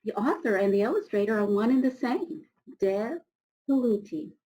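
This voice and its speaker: A middle-aged woman reads to schoolchildren in an animated, swooping voice. She often steps out of the text to chat directly with her listeners, encouraging them and marvelling in an expressive way. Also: fairly high pitch, normal speed, rough recording. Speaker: storybook reader for schoolchildren. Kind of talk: children's read-aloud with chatty asides.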